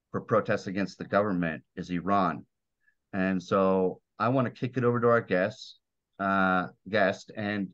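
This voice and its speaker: Man, podcast host, lowish voice, muffled, dull recording. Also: fairly bright tone. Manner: friendly, slightly stumbling questions. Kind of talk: podcast interview hosting.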